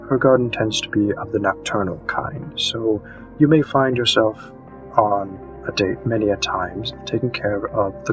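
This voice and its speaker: Man playing a slow, low-voiced formal matchmaker. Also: a bit bright, rough recording. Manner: measured, deliberate, formal. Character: courteous, slow, formal monster matchmaking presenter, male. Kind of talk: scripted roleplay narration.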